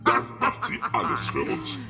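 A man's voice: very high-pitched, big pitch swings, moderate pace, gravelly, bright, forceful tone, exaggerated voice. A man playing a sly, servile, scheming henchman to a villain boss in a children's radio play. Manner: scheming, obsequious. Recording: long hall reverb, music underneath.

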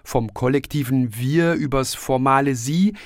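A man reads scripted feature narration for radio. His voice sits at a medium pitch. The sound is close and dry, without room echo. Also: measured pace. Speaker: radio reporter.

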